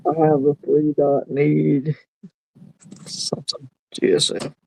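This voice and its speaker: Man hosting a chatty online hangout, gravelly, kinda high, rambles and laughs a lot. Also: little pitch movement, slow pace, a little mellow, a little hiss or hum, low-quality recording. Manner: rambling, easygoing, laughs easily. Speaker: livestream host. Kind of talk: casual chat.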